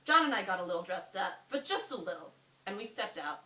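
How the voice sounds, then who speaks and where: A young woman reading a story aloud to a friend in an amused, expressive way, often breaking off for asides. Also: medium pitch, fairly quick. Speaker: reader. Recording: roomy, distant mic with faint noise.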